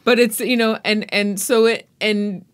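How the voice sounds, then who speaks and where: A woman's voice: mid-range voice, steady tone, unhurried. A woman hosting a podcast, talking through a book in a conversational interview style.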